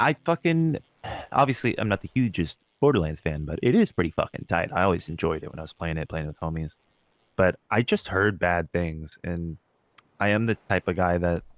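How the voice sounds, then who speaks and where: A low-voiced man chats as a podcast co-host in a dry, close recording. He is laid-back and agreeable, talking in loose slang with casual swearing. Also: no room sound.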